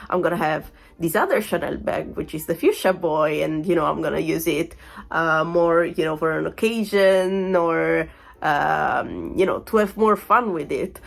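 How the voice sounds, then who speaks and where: A woman's voice: lowish voice, vocal fry. A woman in her thirties chatting through a vlog unboxing, still getting over a cold, rambling with plenty of fillers and small laughs. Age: 30s. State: recovering from a cold, voice sounds off. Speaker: vlogger. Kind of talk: casual unboxing chat.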